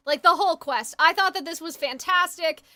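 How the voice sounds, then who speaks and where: A woman's voice: very high-pitched, brisk, pushed and bright, no room sound. A woman livestreamer chatting casually and ramblingly with her viewers, opinionated and informal, sounding a little phlegmy. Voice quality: slightly congested, phlegmy. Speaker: game livestreamer.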